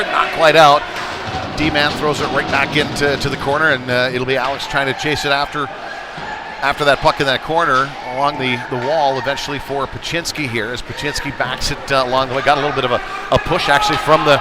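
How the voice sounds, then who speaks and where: Man calling hockey over loud rink noise. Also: fairly high pitch. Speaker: play-by-play hockey commentator. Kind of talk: live ice hockey play-by-play commentary. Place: indoor ice hockey rink. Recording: noisy live rink stream, loud background noise.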